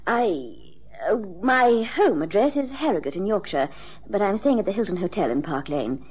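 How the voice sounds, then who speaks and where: A woman's voice: medium pitch, expressive, normal speed, darkish tone, breathy edge. An actress playing a genteel, well-to-do woman in her thirties in an old radio drama, proper and a little hesitant. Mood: polite, hesitant.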